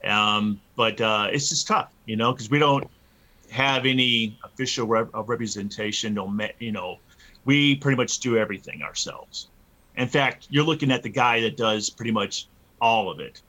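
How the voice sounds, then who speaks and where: A man's voice: lowish voice, moderate pace, pushed and bright. A man of fifty-one speaking casually and earnestly as an interview guest, feeling his way through his phrases. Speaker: interview guest, metal band musician.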